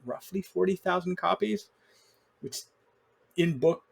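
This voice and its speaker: A man's voice: high-pitched, measured pace, vocal fry, husky. A man speaking as a podcast guest, explaining things in a casual conversational way.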